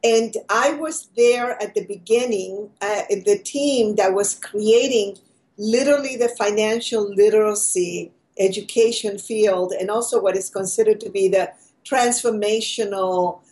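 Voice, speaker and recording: A woman's voice: mid-range voice, unhurried, a little mellow. An older woman from Chile, speaking earnestly as a guest over a video call, telling her story in a reflective way.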